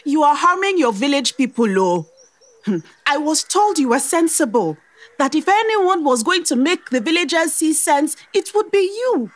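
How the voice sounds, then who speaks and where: An earnest environmental activist in an audio drama. She is determined and insistent, with a high voice that rises and falls sharply. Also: lively intonation, moderate pace.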